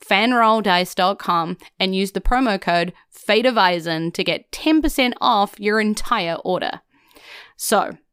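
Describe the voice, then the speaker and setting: An excitable, playful woman with a New Zealand accent, hosting and narrating a tabletop game podcast in a theatrical, chatty way. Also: mid-range voice, clear, resonant tone. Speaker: podcast host and game master.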